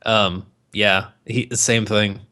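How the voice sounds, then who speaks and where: Low-voiced man podcasting, crude and casual. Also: level tone, slow, sharp tone. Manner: crude, swearing. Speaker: podcast co-host. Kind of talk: casual banter about movies.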